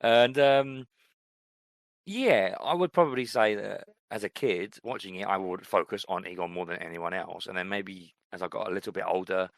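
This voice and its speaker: A man's voice: deep voice, gravelly, dry recording. A middle-aged man co-hosting a podcast, chatting casually and rambling with many fillers. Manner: relaxed, rambling, frequent fillers. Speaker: podcast co-host. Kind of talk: casual chat.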